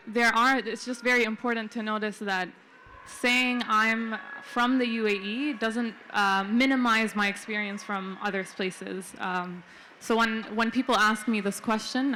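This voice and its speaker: A young adult woman, born in the Philippines and raised in several countries, answering thoughtfully on a panel with many "um" pauses. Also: mid-range voice, sharp tone, a little hiss or hum. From Philippines-born, raised in Jordan, Kenya, India. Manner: reflective, frequent um fillers. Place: book fair panel stage.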